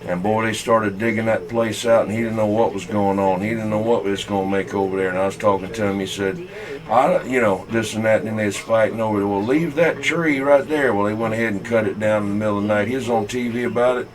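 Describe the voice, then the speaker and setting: A man with a Southern US accent telling folksy, halting, rambling stories in casual talk over CB radio. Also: on the low side, steady tone, a crowd in the background, low-quality recording. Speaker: CB radio operator.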